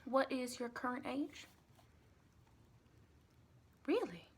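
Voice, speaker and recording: A woman playing a sweet, chatty vampire lady with a Southern US accent, soft-spoken and partly whispering in a rambling, reassuring ASMR roleplay. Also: sits high, animated, takes it slowly, slight background noise. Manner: folksy, rambling, reassuring, doting.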